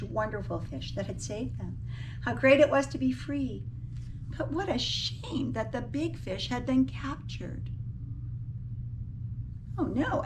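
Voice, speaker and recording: Female storybook reader, teacher type, higher voice, animated emphasis, recorded away from the mic with some background noise. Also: recorded from a distance. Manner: animated, dramatic emphasis with drawn-out key words. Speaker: teacher reading a picture book aloud. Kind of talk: expressive children's storybook read-aloud with asides to listeners.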